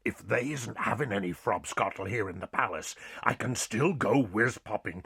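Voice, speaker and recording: A man's voice: on the low side, moderate pace, vocal fry, fairly bright tone, slightly rough, heavily acted. An actor playing a big, friendly, childlike old giant who muddles his grammar and invents words, in a dramatized children's audiobook. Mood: merry, eager.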